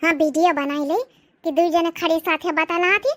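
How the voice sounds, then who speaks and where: A woman's voice: very high-pitched, moderate pace, fairly bright tone, slight background noise. A woman voicing dramatic, plaintive village housewives in a comedy cartoon, speaking with a rustic Awadhi accent. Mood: scandalized.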